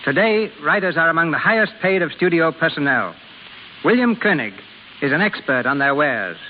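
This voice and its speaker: A male 1930s radio host reads a script in a formal, stately way. His voice sits somewhat high. The old recording is narrow and tinny, with long reverberation. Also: animated, normal speed, slight background noise.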